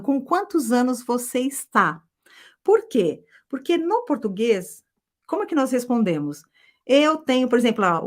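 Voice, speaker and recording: Lively woman teaching a class. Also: fairly high pitch. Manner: lively, ends phrases with a checking tag, drills repetition. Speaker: English teacher. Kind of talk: live online class. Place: abroad in Europe.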